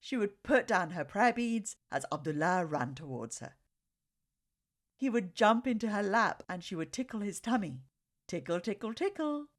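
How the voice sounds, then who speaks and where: A woman reading a children's story aloud with expressive, dramatic phrasing. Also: medium pitch, normal speed.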